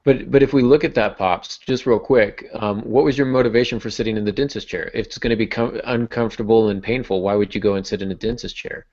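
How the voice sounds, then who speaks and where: Low-voiced man, casually explaining. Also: steady tone, fast talker, hardly any pauses, a little mellow. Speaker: podcast host and writing teacher. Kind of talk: casual explanatory podcast talk. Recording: dull, muffled lo-fi audio.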